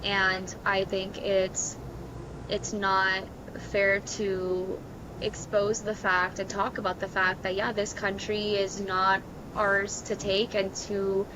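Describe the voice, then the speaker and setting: A woman's voice: medium pitch, monotone, slow, muffled recording, noisy background. A woman with an American accent talking casually as a podcast co-host. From Miami, Florida. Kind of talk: casual chat.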